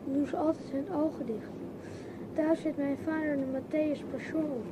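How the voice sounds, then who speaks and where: A woman plays an inquisitive child travelling by train in a radio play. The voice is high and the questions are frank. Loud noise runs through the recording. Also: fairly even intonation, normal speed, close to an everyday voice. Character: curious, frank child riding a train with parents.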